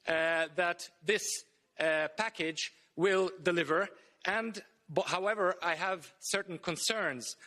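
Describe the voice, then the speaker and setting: A man giving a one-minute parliamentary floor speech in a critical, measured tone. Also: high voice, fairly bright tone. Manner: critical, measured, with some hesitations. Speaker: member of parliament.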